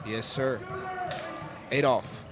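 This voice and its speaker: A young American man calling high school basketball as a live radio play-by-play announcer. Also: fairly even intonation, drawn-out words. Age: young adult. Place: indoor high school gym, courtside. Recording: noisy gym ambience. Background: bouncing basketballs, gym noise.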